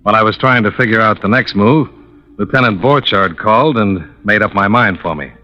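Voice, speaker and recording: An actor playing a hard-boiled American insurance investigator in a 1950s radio detective drama, clipped, matter-of-fact and wry. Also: male voice, lowish voice, brisk, lightly acted. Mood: dry, brisk. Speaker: narrator and lead detective. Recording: dull old radio broadcast recording.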